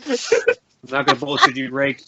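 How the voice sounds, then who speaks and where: A man playing an earnest, shaken young countryman, speaking haltingly in an amateur screenplay table read. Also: mid-range voice, big pitch swings, vocal fry. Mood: distressed, resolute. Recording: dull lo-fi audio with faint noise.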